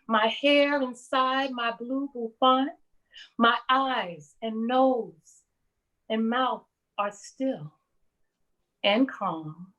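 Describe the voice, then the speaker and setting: Woman acting a slow, anxious city nurse. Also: a little high, a bit bright, rough recording. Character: anxious, dedicated city nurse steeling herself for work. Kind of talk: poetic dramatic monologue.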